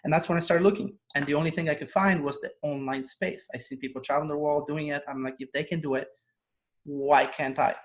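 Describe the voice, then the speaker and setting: A man's voice: fairly high pitch, fairly even intonation, fairly quick, slight background noise. A man, a guest telling his story earnestly on a video call and laughing often. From Poland. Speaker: guest, online business coach. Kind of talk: casual interview over video call.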